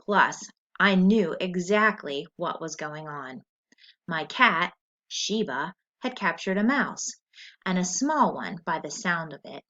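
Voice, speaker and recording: A woman teacher reading a story aloud in an expressive, deliberate, instructional way, as for a lesson with questions. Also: lowish voice. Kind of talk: scripted story read-aloud with comprehension questions. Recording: dull lo-fi recording.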